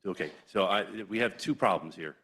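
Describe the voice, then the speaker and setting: A man's voice: low voice, brisk, a bit bright. A man co-presenting at a support group, speaking with casual, conversational asides.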